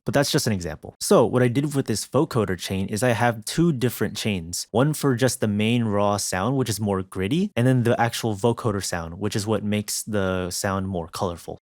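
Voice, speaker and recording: A man's voice: lowish voice, expressive, normal speed, hardly any pauses, darkish tone, no echo, clean studio sound. A man presenting a music production tutorial in a casual, explanatory narration style.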